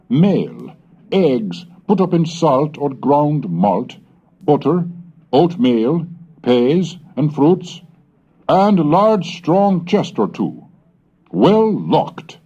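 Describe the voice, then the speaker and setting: A man reading aloud as a Puritan colonist writing to his wife, measured and earnest, on a dull old cassette recording. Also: mid-range voice, dark tone, slightly rough.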